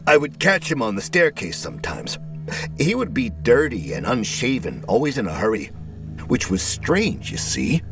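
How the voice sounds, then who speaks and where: A man voicing a chatty, nosy, eccentric male neighbor in an audio story, with a folksy, rambling, excitable way of talking. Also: medium pitch, moderate pace, over music, pitched above the performer's own voice. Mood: gossipy, conspiratorial.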